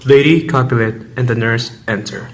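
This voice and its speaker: A man's voice: medium pitch, moderate pace, a little hiss or hum. A man reading scripted stage directions as narrator of an amateur radio drama.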